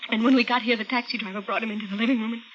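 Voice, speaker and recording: An actress plays a shaken young woman in an old radio thriller, her voice high and halting. She stammers and insists, sounding close to panic. The recording is muffled, with clear background noise. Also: fairly even intonation, close to an everyday voice. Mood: distraught, insistent. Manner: halting, breathy stammering, repeating herself. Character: frightened, shaky young woman insisting she saw a corpse. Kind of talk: 1950s radio mystery acting.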